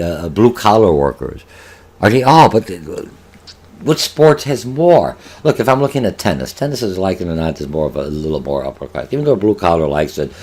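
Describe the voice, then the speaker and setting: A man's voice: deep voice, a little mellow. An older man whose first language is Polish, giving an online college marketing lecture over slides, rambling with many filler sounds, restarts and rhetorical questions. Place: home office, indoors.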